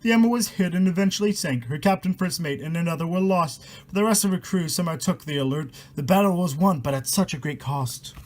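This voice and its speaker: A man's voice: fairly high pitch, fairly quick, few pauses, a little mellow, slight background noise. A man reading a rhyming horror story aloud in a dramatic, irreverent way, stumbling over words and swearing in casual asides. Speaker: amateur storyteller and host.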